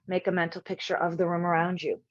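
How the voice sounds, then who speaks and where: A woman with a fairly low voice leads a guided meditation as a yoga instructor. She speaks in soothing, measured phrases separated by long pauses. The recording is somewhat dull and quite dry, with little room sound. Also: flat delivery, dry recording.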